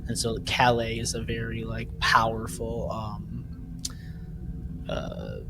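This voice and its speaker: A man co-hosting a podcast in a casual fan discussion, animated and rambling as he searches for words. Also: fairly low pitch, takes it slowly, music in the background, rough recording. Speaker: podcast co-host. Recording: clear background noise throughout.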